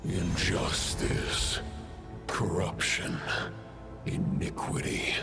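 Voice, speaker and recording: A man's voice: little pitch movement, exaggerated voice. An actor voicing an ancient, menacing male wolf spirit in a video game, solemn and contemptuous, with archaic, ominous phrasing. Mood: grave scorn. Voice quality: deep, gravelly. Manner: slow, ominous, archaic speech. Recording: music under the voice.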